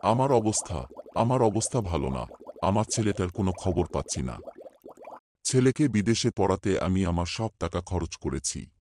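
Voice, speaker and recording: A man's voice: sits low, big pitch swings, normal speed, darkish tone, a little hiss or hum. A man playing a remorseful older moneylender in a cartoon, pleading and confessing. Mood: sorrowful. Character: remorseful, humbled older male moneylender landlord. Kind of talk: cartoon voice acting.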